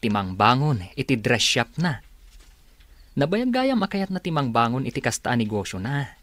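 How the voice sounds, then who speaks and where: An actor with a Filipino accent playing an earnest young son in a radio drama. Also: male voice, medium pitch, sing-song, normal speed, a bit bright, no room sound. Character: earnest young son discussing savings plans.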